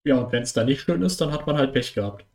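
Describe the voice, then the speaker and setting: A man's voice: medium pitch, animated, fast, darkish tone. A man casually chatting while reacting to a video, with mocking, teasing commentary. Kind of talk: casual chat.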